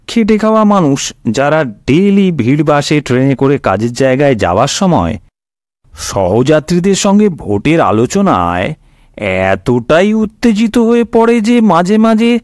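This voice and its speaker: A man's voice: fairly high pitch, a little mellow, no echo, studio quality. A man with an Indian Bengali accent telling scripted satirical stories in a colloquial, wry, explanatory way. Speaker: comic storyteller.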